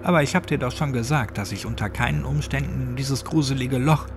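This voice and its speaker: A man voicing a gruff, impatient old man with a brash, mocking manner in a horror audiobook. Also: mid-range voice, a little fast, noisy background, pitched above the performer's own voice, natural voice.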